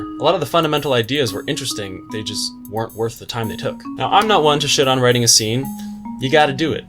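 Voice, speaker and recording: A man giving a scripted book review commentary in a wry, tongue-in-cheek, slangy way. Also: medium pitch. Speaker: book reviewer, video host. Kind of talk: scripted video essay commentary with slangy asides.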